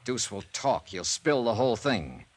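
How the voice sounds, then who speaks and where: An actor playing a tough, sardonic, relentless investigator in a 1950s radio drama, with hard-boiled, slangy delivery. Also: male voice, mid-range voice, big pitch swings, normal speed. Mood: pressing. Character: tough, wisecracking, relentless male insurance investigator. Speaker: lead actor and narrator. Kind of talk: 1950s radio detective acting.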